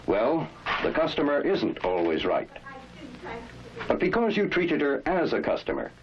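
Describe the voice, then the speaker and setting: A man's voice: fairly high pitch, huge pitch swings, unhurried, crowd noise. A man narrating a 1960s instructional film in an emphatic, authoritative, rhetorical manner. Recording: old 1960s film soundtrack, loud music. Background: loud music.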